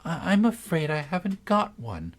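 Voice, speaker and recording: A man's voice: on the high side, wildly swooping pitch, moderate pace, pitched above the performer's own voice, heavily acted. A man voicing a polite, anxious young girl with a light, timid tone in a storybook reading. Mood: frightened.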